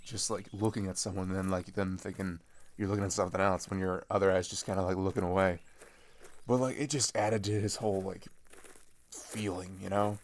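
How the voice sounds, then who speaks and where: Low-pitched, rambling teenage boy, played by a man. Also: little pitch movement, brisk. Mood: amused unease. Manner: rambling, hesitant, slangy, filler-heavy, giggly. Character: chatty, sarcastic teenage boy traveler swapping road stories. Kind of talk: improvised-sounding casual roleplay audio.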